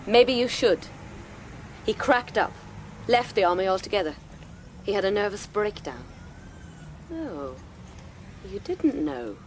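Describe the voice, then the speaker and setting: A woman's voice: medium pitch, very animated, unhurried, pauses often, slight background noise. An actress playing a female military investigator in a radio drama, speaking in a pointed, insinuating, taunting manner.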